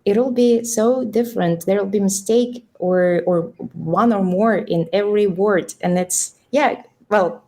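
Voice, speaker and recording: A woman's voice: mid-range voice, unhurried, warm tone. A young woman, a podcast guest answering at length in a casual, thoughtful way with many fillers and restarts. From Tuva, Russia. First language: Russian.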